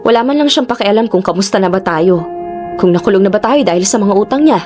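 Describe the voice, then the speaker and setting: A woman acting as a young Filipina niece, hardworking and determined under a load of debt. Her voice sits at a middle pitch with a Filipino accent, in radio drama style over a music bed. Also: animated, fast, lightly acted. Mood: bitter.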